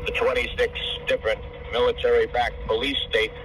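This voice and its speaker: A man's voice: mid-range voice, little pitch movement, fairly quick. An older man, over 65, talking in a rambling, profane, hard-to-follow way on a phone call. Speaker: caller. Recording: distant phone call with faint car noise.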